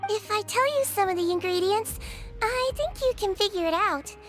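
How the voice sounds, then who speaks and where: A woman's voice: very high-pitched, moderate pace, music in the background. A woman playing a petite young head nurse in a video game, caring yet firm. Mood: calm, firm.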